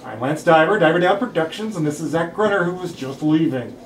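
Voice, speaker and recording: A man's voice: a little high, lively intonation, a little fast, lightly acted. A man reading the part of a vain, charming filmmaker in his late twenties at a script table read. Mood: smooth, flattering. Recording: roomy, distant mic, clear background noise.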